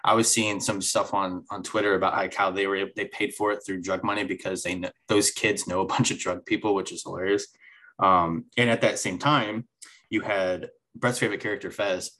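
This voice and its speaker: Low-voiced man rambling through a TV recap. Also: fairly even intonation, a little fast, pushed and bright, rough recording. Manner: rambling, with many fillers and restarts. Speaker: podcast co-host. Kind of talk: casual TV recap chat.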